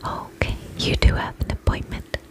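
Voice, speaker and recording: A woman in her twenties doing a soothing, attentive ASMR roleplay as a spa attendant, whispering softly close to the microphone. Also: normal speed. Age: 20s. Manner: soft, soothing, attentive customer-service patter. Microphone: close microphone, face near camera.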